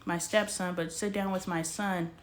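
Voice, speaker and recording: A woman in her forties hosting a casual livestream, talking straight to her viewers in an animated, slangy way. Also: lowish voice, moderate pace, a little hiss or hum. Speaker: livestream host.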